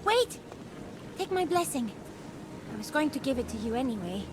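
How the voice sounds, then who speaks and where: An insecure, childlike goddess in a video game cutscene. Her very high voice swings widely in pitch as she pleads and halts. Music plays clearly behind her. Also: very animated, normal speed. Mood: hesitant, unsure.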